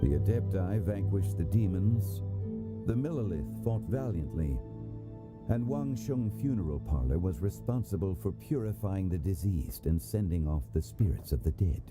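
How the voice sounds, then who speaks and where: Deep, dignified scholarly gentleman. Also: expressive. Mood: solemn, explanatory. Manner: formal, measured. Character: dignified, scholarly male consultant recounting ancient history. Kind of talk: video game voice acting. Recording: music behind the voice.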